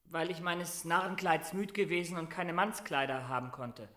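An actor playing a naive young peasant prisoner who answers simply and earnestly in a historical radio play. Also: male voice, on the high side, steady tone, normal speed, bright, forceful tone. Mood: earnest, defensive. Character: naive, plain-spoken young peasant lad held prisoner. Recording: echoey interrogation room.

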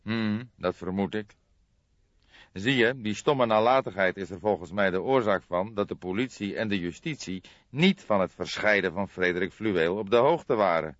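A man voicing an older police detective, calm and wry, speaking in measured, formal phrases in an audiobook. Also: lowish voice, no room sound, muffled recording, close to an everyday voice. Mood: thoughtful. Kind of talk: audiobook character voice.

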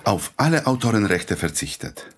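An actor playing a humble, idealistic doctor and humanist in a radio drama, speaking earnestly and courteously. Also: male voice, lowish voice, big pitch swings, moderate pace. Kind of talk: German radio drama acting.

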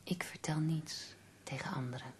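An actress playing a gentle, devoted young woman in a radio drama, speaking softly and breathily to soothe her lover. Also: sits low, moderate pace, breathy edge, whispered. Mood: soft, soothing. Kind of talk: intimate radio drama acting.

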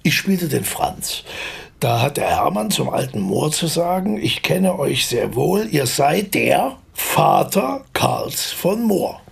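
Older theatre man, very raspy and creaky, theatrical, genial radio-drama raconteur. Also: a little high, very animated, slow pace, exaggerated voice. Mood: jovial, reminiscing. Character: older former stage actor, genial theatrical anecdote-teller. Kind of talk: naturalistic radio drama dialogue.

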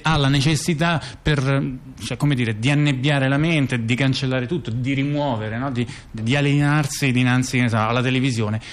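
A man playing a rambling intellectual in a conversational radio theatre piece, explaining things haltingly with repeated words and digressions. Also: mid-range voice, lightly acted. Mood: hesitant, explanatory.

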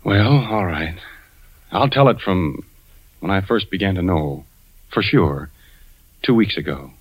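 Low-voiced, anxious 1940s radio husband. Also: male voice, animated, measured pace, breathy edge, dry recording, full character voice. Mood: brooding. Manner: hesitant, brooding confession. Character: anxious, suspicious husband, an insurance investigator. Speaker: radio drama actor. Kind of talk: 1940s radio acting, first-person narration. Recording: dull 1940s radio recording, music behind.

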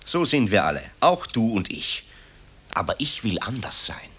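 A man's voice: on the low side, very animated, moderate pace, a bit bright, slightly rough, full character voice. An actor playing a bitter, guilt-ridden composer who accuses his mother in eloquent, theatrical radio play style. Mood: accusing, resolute. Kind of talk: German radio play acting.

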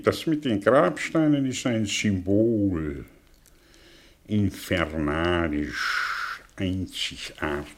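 An actor playing a cynical, bitter professor in a radio play, declaiming in with sardonic rhetorical questions. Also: male voice, lowish voice. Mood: sardonic, needling. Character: bitter, cynical male professor, theatrical intellectual monologist. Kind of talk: German radio play acting.

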